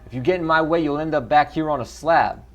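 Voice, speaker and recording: A man's voice: fairly high pitch, fast talker, faint music behind, pitched above the performer's own voice, lightly acted. A man voicing a brash, bullying, crooked ork homicide detective in a video game. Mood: threatening. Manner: blustering, bullying.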